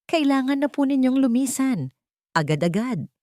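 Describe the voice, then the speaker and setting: A woman's voice: high-pitched, sing-song, normal speed, a little mellow, no echo, pitched above the performer's own voice. A cold, formal male company lawyer in an audio story, acted out by a woman narrator. He speaks sternly and without sympathy, in a close, dry recording. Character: hard, unfeeling male corporate lawyer evicting tenants.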